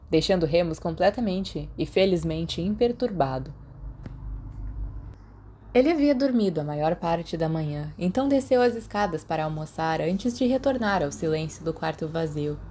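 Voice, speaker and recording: Low, mellow Brazilian woman narrating fiction. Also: moderate pace, slight background noise. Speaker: podfic narrator. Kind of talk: scripted audiobook narration of fiction.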